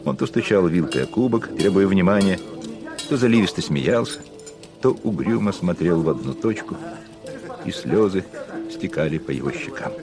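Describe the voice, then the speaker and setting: A man narrating a gothic radio play in a measured, atmospheric way. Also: low-pitched, lively intonation, music in the background.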